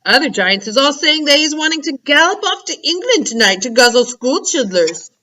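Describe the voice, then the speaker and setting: A woman doing a gentle kindly giant. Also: sits high, very animated, normal speed, far from the mic, pitched above the performer's own voice, heavily acted. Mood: coaxing. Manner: earnest, coaxing, playful made-up words. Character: big kindly gentle male giant, odd grammar.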